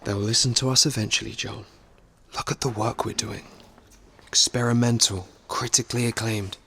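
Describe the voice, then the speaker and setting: Ambitious, combative theatre manager. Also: male voice, mid-range voice, steady tone, normal speed, creaky, bright, forceful tone, a little hiss or hum. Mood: encouraging. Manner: earnest, combative, assertive. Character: ambitious, loyal, defensive male theatre business manager. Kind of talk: radio play acting.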